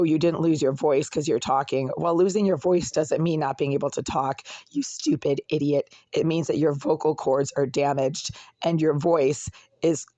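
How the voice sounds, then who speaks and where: A vlogger with a hoarse, raspy voice that cracks and breaks, ranting in an aggrieved way from a cold apartment. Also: medium pitch, dark tone, no room sound. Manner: aggrieved, ranting, insults critics. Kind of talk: casual complaint vlog.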